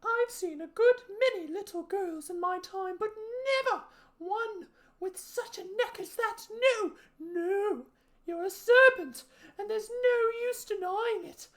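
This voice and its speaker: A frantic storybook pigeon, with an extremely high, piercing voice. It scolds slowly and indignantly, stretching words into suspicious, shrieking complaints. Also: female voice. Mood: scornful, sulky. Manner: shrieking, drawn-out, scolding delivery. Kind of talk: storybook character voice.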